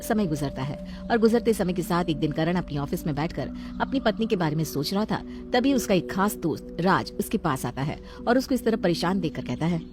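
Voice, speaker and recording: A woman's voice: on the low side, animated, a little fast, hardly any pauses. A woman telling a scripted dramatic story as the storyteller. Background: background music.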